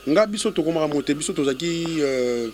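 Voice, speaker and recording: A man with a Congolese accent chatting casually as an interview guest in French and Lingala, halting and repeating words. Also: fairly high pitch, expressive, a crowd in the background. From Kongo Central, DR Congo.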